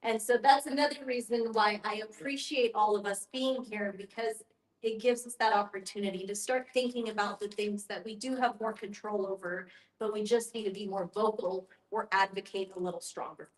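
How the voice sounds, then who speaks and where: A woman facilitating a group discussion in an explanatory way, with a measured, encouraging manner. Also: mid-range voice, fairly even intonation. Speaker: guest facilitator and end-of-life educator. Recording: echoey room sound.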